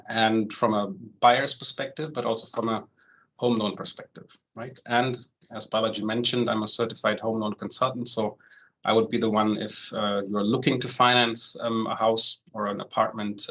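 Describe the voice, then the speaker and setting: A man in his forties who grew up in Germany, a home loan consultant giving an online presentation in a relaxed way, full of "um" fillers and the tag "right?". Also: lowish voice, normal speed, low-quality recording. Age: 40s. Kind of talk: online presentation, walking through steps.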